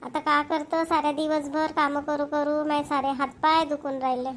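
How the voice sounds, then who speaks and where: A woman's voice: high voice, flat delivery, moderate pace, close to an everyday voice. A woman with a Vidarbha Marathi accent voicing a grumbling, self-pitying older mother-in-law in an amateur cartoon. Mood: complaining. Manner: nagging, complaining.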